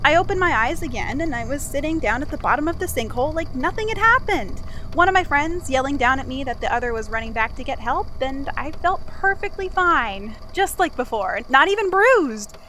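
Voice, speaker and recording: A woman voicing a cheerful, accident-prone male archival assistant in an audio drama, chatty and wry with thoughtful pauses. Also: sits high, animated, pushed and bright, slight background noise, poor audio. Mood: wry, reflective. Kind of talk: confessional monologue in an audio drama.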